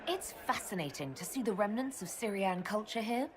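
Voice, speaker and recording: A woman's voice: mid-range voice, expressive, normal speed, crowd noise, natural voice. A woman playing a composed, scholarly female mage leader in a video game, speaking in an articulate, polished way. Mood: chatty, informative. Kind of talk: video game dialogue acting.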